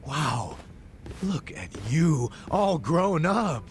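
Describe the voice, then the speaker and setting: An actor voicing a blustering, slangy middle-aged ex-cop who bluffs as a rich benefactor in a video game. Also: male voice, a little high, sing-song, extremely slow, a little hiss or hum, heavily acted. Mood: flustered, putting on airs. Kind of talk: video game cutscene dialogue.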